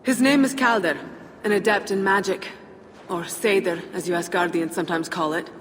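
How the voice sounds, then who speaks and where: A woman's voice: medium pitch, normal speed, in a large hall, slight background noise, lightly acted. A woman playing a proud, suspicious fire-giant warrior princess in a video game, speaking in commanding, dramatic dialogue. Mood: imperious.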